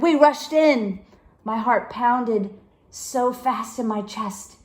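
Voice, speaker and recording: A woman reading a children's book chapter aloud, with expressive, deliberate and dramatic storybook phrasing. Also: fairly high pitch, roomy sound.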